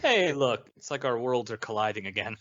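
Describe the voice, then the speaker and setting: A man's voice: medium pitch, lively intonation, brisk, bright, forceful tone. Man bantering casually on a lo-fi podcast. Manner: swears freely. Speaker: podcast co-host.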